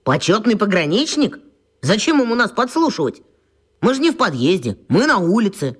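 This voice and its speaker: A wry, skeptical young schoolboy teases his friends in a children's radio play, played by a woman. The voice is mid-pitched, with a strongly swinging, animated melody. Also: normal speed, breathy edge. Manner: skeptical, teasing, lively intonation.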